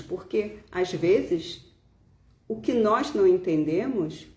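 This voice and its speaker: Very slow Brazilian woman, roomy sound. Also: sits low, darkish tone. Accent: Brazilian Portuguese. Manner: deliberate, halting phrases with pauses. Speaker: religious speaker leading a spiritist devotional talk. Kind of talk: devotional talk with reading aloud.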